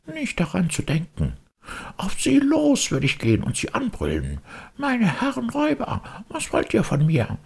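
A man's voice: high voice, huge pitch swings, normal speed, creaky, slightly rough, voice pushed up, full character voice. A man voicing a stubborn wooden puppet boy, cocky, defiant and grumbling, in a storybook reading. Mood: boastful grumbling.

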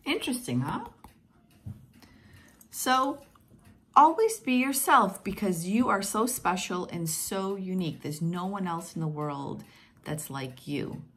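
Woman, Portuguese first language, picture-book reader, gentle and encouraging, lively pitch, slow pace, close webcam. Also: a little hiss or hum. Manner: gentle, encouraging, singsong reading. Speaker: teacher reading picture books. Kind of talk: reading children's books aloud. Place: home room with plants, indoors. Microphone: webcam at close range.

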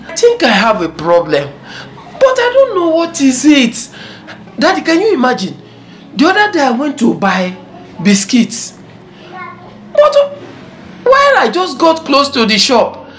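A bewildered young man, played by a man, pleads and tells his troubles in a high voice. His pitch swings widely as he talks, with faint music underneath. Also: a bit bright, heavily acted. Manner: pleading, confused. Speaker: job seeker.

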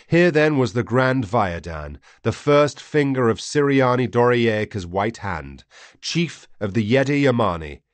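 A man reading a first-person story as an audiobook narrator, grave, theatrical and deliberate. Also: medium pitch, lively intonation, no echo.

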